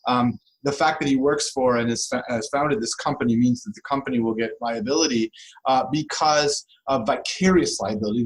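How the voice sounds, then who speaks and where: Male law professor as interview guest, mid-pitched, discursive and conversational, explains step by step. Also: low-quality recording.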